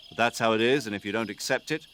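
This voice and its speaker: Man acting male commanding officer, lowish pitch, articulate, earnest, authoritative, video game radio briefing, faint background noise. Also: fast talker, fairly bright tone, lightly acted. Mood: sympathetic, firm. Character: authoritative male military commander, firm but sympathetic. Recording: faint night insect noise behind.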